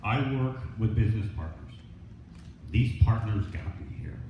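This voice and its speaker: Male business owner, informal thank-you speech, plainspoken; dull recording with clear background noise. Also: fairly low pitch, normal speed. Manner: plainspoken, colloquial. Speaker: business owner accepting an award. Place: echoey indoor room at a presentation event. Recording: echoey, dull, noisy room recording.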